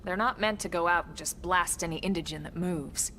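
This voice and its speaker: An actress playing a composed, no-nonsense female military officer in a video game, speaking in a crisp, measured, dry way. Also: on the low side, bright, forceful tone, a little hiss or hum. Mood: measured, explaining. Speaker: voice actor. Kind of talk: scripted video game cutscene acting.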